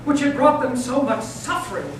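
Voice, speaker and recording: A man playing a stern, bitter old Grand Inquisitor in readers theater, declaiming from hushed menace up to forceful pronouncement. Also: very high voice, sing-song, moderate pace. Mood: fervent, bitter. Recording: echoey noisy hall, distant microphone.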